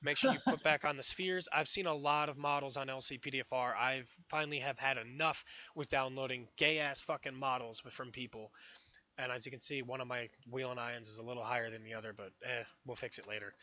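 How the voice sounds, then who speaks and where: Brief: hoarse man, bright tone, offhand tutorial commentary full of swearing. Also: medium pitch, lo-fi sound. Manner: rambling, profane, offhand. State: losing his voice, hoarse. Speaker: modding tutorial streamer. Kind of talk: casual screen-recorded tutorial commentary.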